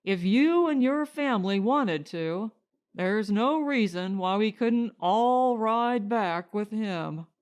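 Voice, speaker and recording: A woman voicing a reasonable, courteous male settler in an audiobook story, speaking in a deliberate, persuasive way. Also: mid-range voice, animated, a little mellow. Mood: earnest, reasoning.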